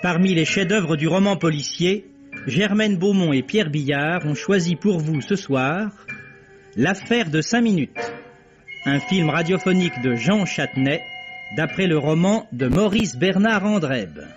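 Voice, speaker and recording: A muffled vintage radio recording with music behind a male announcer. His voice is fairly high and very slow, reading the credits of a radio play with formal deliberation. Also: lively intonation.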